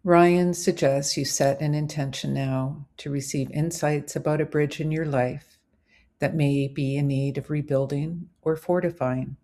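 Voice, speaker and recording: A woman narrating a scripted guided visualization as a meditation host, in measured, deliberate phrases. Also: deep voice, gravelly, warm tone.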